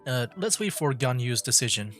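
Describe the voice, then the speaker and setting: A man's voice: mid-range voice, sing-song, moderate pace. A man giving casual, chatty commentary as he streams a video game. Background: game music.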